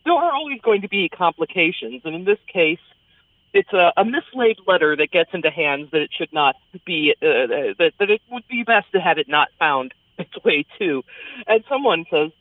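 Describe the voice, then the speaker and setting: A woman's voice: on the low side, no room sound. Female theater critic, conversational and keen, with narrow telephone-style audio and no room sound. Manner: chatty, enthusiastic. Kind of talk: conversational radio review. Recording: thin phone-like sound, dry.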